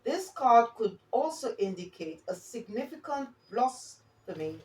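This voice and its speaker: A woman giving a spiritual card reading in a halting manner, often checking in with the listener as she reads and improvises. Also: on the high side, big pitch swings, darkish tone, slight background noise. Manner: hesitant, halting, often checking in with okay and all right. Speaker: oracle card reader and spiritual guide. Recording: roomy echo, distant from the mic.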